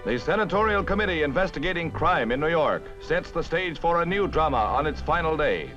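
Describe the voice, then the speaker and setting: Animated 1950s American newsreel announcer, male. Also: on the high side, a little fast. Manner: punchy, dramatic announcer delivery. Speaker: newsreel narrator. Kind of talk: 1950s newsreel scripted narration. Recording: dull vintage newsreel soundtrack with music.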